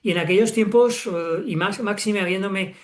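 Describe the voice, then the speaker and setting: A man's voice: high-pitched, moderate pace. A man in his sixties from Spain, a guest author talking reflectively in an interview, with frequent fillers and self-corrections. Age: 60s. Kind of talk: relaxed interview conversation.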